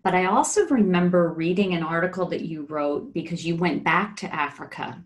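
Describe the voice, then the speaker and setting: Lower-voiced woman interviewer. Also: moderate pace. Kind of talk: reflective conversational interview.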